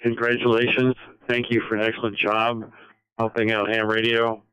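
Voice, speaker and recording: A man's voice: fairly low pitch, completely flat delivery, moderate pace, pushed and bright, smooth, no room sound, lo-fi sound. A man speaking briefly over a radio link as an amateur operator checking in. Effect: heard over radio link.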